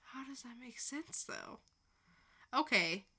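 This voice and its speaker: Bright, slow-talking woman co-host. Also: fairly high pitch, animated, breathy edge. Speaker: podcast co-host. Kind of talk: casual chat.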